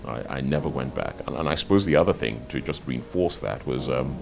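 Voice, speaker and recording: An older man from Nigeria, an author answering interview questions in a measured, articulate way, often repeating words as he thinks. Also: extremely low pitch, vocal fry, darkish tone, faint music behind. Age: 50s or older. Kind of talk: reflective interview talk.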